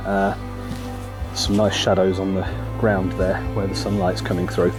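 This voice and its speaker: A lower-voiced man, croaky from a cold, chatting in a relaxed, halting way as he walks through a forest. Plenty of ums between his thoughts, and loud music plays under his voice. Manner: relaxed, hesitant, many ums. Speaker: photography vlogger. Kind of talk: casual walk-and-talk narration. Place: outdoors in a forest.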